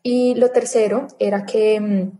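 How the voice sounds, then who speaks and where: A woman in her thirties telling her own story in a casual interview, chatty and animated, acting out her past thoughts as quotes. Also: medium pitch, unhurried. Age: 30s. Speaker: interview guest.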